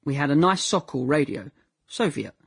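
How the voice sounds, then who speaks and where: Radio drama lead: naive, rambling young man, slightly high husky voice, deadpan humour, chatty self-corrections. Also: moderate pace, no echo, clean studio sound, full character voice. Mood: wry, animated. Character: naive, rambling young man recalling his childhood under communism. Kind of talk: first-person radio drama monologue.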